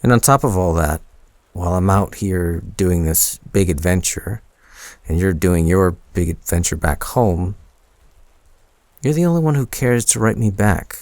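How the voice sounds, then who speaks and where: A man acts out a smitten young man, bashful and hesitant, in an intimate boyfriend audio drama. His voice is low and recorded dry and close. He takes his time, stammering softly as he works toward confessing to his crush. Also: expressive, unhurried, no room sound. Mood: tender, earnest.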